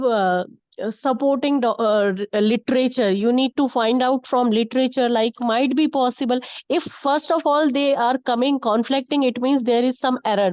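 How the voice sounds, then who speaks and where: A woman with a heavy cold giving an online lecture, hoarse and hesitant with many fillers. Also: high voice, darkish tone, no room sound, highs cut off. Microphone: video call.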